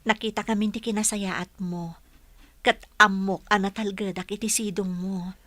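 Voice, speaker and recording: A woman's voice: medium pitch, fairly even intonation, moderate pace, pushed and bright, no echo, lightly acted. Caring big sister, young Filipino working woman, mid-range voice, dry studio sound female actor, radio play. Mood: earnest. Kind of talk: radio drama dialogue.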